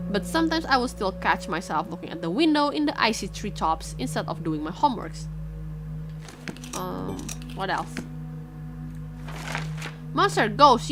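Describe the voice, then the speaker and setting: A woman with an Indonesian accent, a native Indonesian speaker, streaming as a VTuber, reading a visual novel aloud and chatting with viewers, expressive and quick to react. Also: fairly high pitch. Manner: expressive, reacts loudly, switches quickly between reading and chat. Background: game music.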